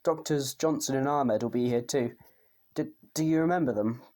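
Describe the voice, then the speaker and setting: A man's voice: medium pitch, moderate pace, warm tone, close to an everyday voice. A man playing an anxious, patient doctor, speaking in a careful, questioning way in an audio drama. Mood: careful, uneasy. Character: anxious, patient male doctor at a children's home.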